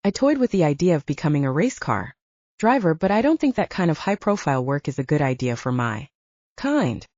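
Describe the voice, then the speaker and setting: An earnest, confident man, a scholarly werewolf voiced by a woman narrator, talks in an audiobook romance. The voice is mellow and husky, conversational, on a slightly dull recording. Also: sits low, big pitch swings, brisk, no room sound. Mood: self-assured. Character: earnest, self-assured male professor and werewolf, adult. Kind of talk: audiobook character dialogue.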